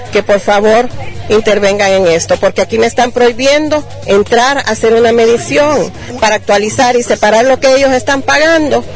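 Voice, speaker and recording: A woman interviewed outdoors, calmly and firmly defending her claim in detail. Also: a little high. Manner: firm, detailed, self-defending. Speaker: landowner's granddaughter. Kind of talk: street news interview.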